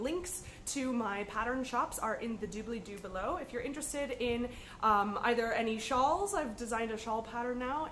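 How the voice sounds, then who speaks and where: A woman's voice: fairly high pitch, a bit bright, a little hiss or hum. A woman hosting a podcast, chatting casually to camera in an upbeat, rambling way, slightly sniffly from a cold. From United States. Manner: chatty and upbeat with frequent ums. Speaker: podcast host.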